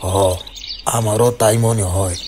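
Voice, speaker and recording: A man's voice: lowish voice, fairly even intonation, slow pace, dark tone, a little hiss or hum. A man playing a bold, stubborn village palm-sap tapper in a cartoon, with a rural Bangladeshi accent. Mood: worried, determined. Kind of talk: cartoon voice acting.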